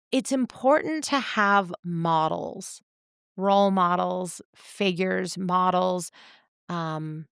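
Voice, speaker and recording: A woman podcast host talking reflectively in deliberate, measured short phrases. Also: fairly low pitch, vocal fry, a bit bright, smooth, dry recording, clean studio sound. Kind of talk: reflective conversational talk.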